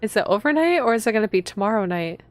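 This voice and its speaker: A woman's voice: medium pitch, animated, fast talker, faint music behind. A woman reading visual novel text aloud as a streamer, expressively, with casual reactions to the story.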